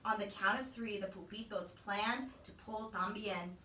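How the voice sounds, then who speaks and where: A woman reading a children's story aloud as a teacher, with expressive, animated narration. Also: medium pitch, measured pace, a little hiss or hum. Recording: echoey room, distant microphone.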